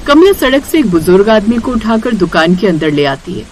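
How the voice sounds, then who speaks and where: A woman narrator tells a scripted story in a medium-pitched voice with expressive movement. Noticeable ambience sits behind her. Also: moderate pace, slight background noise.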